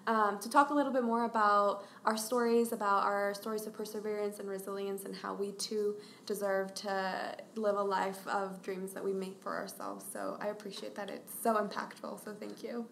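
A young woman in her twenties, originally from Mexico, speaking earnestly and in measured phrases, with frequent ums, as a podcast interview guest. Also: medium pitch, gravelly, fairly bright tone, reverberant, a little hiss or hum. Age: 20s.

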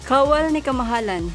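A chatty woman narrates her family outing on a handheld camera outdoors, her voice a little higher than most. Music plays under her casual, laughing talk. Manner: chatty, laughing, mixes Tagalog and English. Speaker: vlogger filming family trip. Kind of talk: casual travel vlog chat. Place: outdoors at a castle ruin.

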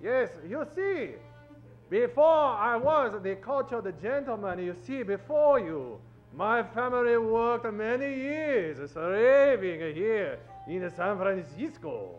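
A man playing a cultured, proud gentleman detective in old-time radio style, measured and formal. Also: high-pitched, sing-song, highs cut off, soft background music, heavily acted. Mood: dignified, reminiscing. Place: stage of a small theatre. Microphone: vintage-style stand microphone.

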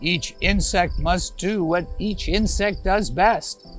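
Plucky little girl damselfly from a storybook, read by a man, raised pitch, earnest, emphatic, measured. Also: faint music behind. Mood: urgent rallying. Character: young, small, plucky female damselfly.

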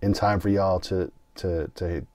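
A man's voice: sits low, fairly even intonation, a little slow, darkish tone, no room sound. A man co-hosting a casual film review podcast, talking in a wry, easygoing way. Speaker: podcast co-host.

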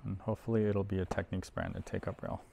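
A middle-aged man giving casual, unhurried unboxing commentary, thinking aloud as he handles things in a workshop. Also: low-pitched, little pitch movement, vocal fry, noisy background. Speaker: host of an audio gear unboxing video. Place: indoor workshop at a workbench.

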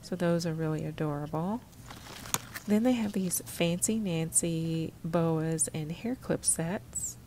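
A woman vlogger giving a casual, unhurried, descriptive narration of what she is showing while shopping. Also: low voice, background noise.

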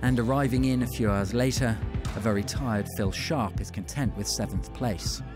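A man giving scripted, measured documentary narration as a studio voice-over. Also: medium pitch. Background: music.

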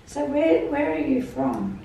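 A woman's voice: high-pitched, huge pitch swings, measured pace, mellow. An older woman, chatting casually as a patient during treatment. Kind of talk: casual chat. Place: indoor treatment room. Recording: very echoey room, distant from mic, noisy.

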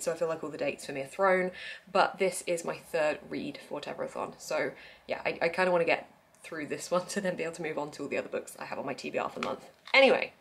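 Fast-talking southern English woman vlogger. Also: fairly low pitch. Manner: chatty, informal, self-interrupting. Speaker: book vlogger. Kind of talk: casual vlog chat to camera. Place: living room at home, indoors.

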